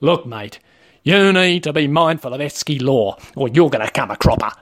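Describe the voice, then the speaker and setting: A man's voice: medium pitch, animated, normal speed, fairly bright tone. A man voicing an officious, matey Australian male shop trainer for a comic story, with a blokey, rule-bound manner. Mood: stern, officious. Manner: blokey, officious, laying down rules.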